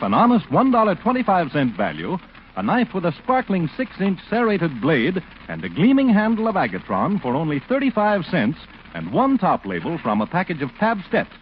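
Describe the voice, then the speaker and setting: Male commercial announcer, high voice, emphatic hard sell, faintly noisy 1940s radio recording. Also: highs cut off. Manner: emphatic, repetitive selling.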